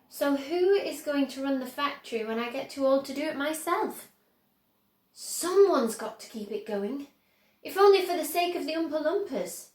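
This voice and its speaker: A woman's voice: high-pitched, reverberant, voice pushed up. A woman voicing an eccentric, exuberant old man who owns a chocolate factory, speaking earnestly and emphatically in a storybook reading.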